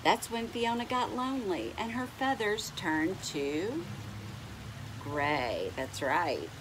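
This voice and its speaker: Animated middle-aged woman reading to children. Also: mid-range voice, background noise. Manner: animated, drawn-out words, questioning the children. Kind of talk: expressive picture-book read-aloud with asides to young listeners. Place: indoors at home on a couch.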